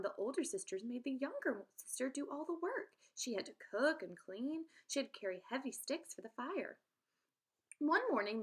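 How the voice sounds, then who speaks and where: Female teacher, expressive children's read-aloud, direct address to young listeners. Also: fairly high pitch, husky. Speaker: teacher reading aloud to pupils.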